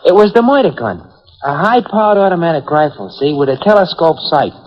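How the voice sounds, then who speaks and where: A man's voice: fairly high pitch, very animated, brisk. An actor playing an obliging, streetwise male sidekick with colloquial speech in a 1940s radio detective drama. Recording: muffled vintage 1940s radio recording.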